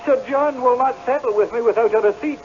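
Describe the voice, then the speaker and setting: A humble piper speaks in a high, earnest voice, fearful yet stubborn. It has the sound of a dull old radio play with faint noise. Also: male voice, brisk, a little mellow. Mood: fearful, pleading. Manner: pleading, deferential, earnest. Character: humble, frightened but stubborn Scottish piper. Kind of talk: old-time radio drama acting. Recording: dull, faintly noisy vintage radio broadcast.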